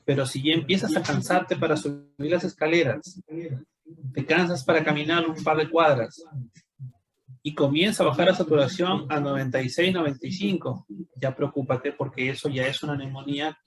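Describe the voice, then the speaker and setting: Man explaining medicine, somewhat high. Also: normal speed, low-quality recording. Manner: didactic, rhetorical questions with tag "no?". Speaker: doctor giving a talk.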